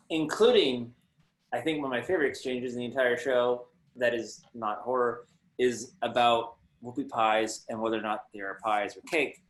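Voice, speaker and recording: A man in his thirties speaks in an echoey room over a video call. His pitch is average, and he chats in a casual, playful, wry and wordy way. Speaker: guest panelist.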